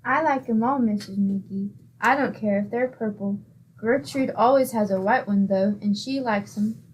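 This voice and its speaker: A woman's voice: medium pitch, moderate pace, slight background noise, lightly acted, poor audio. An actress playing a scatterbrained, guileless young woman in a 1940s-style radio comedy. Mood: deadpan.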